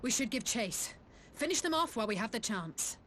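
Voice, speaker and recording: A woman's voice: a little high, big pitch swings, a little fast, fairly bright tone, a little hiss or hum. An actress playing a fierce, spirited female fighter in a fantasy video game, bold and emphatic. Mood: eager, bold. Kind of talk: dramatic video game voice acting.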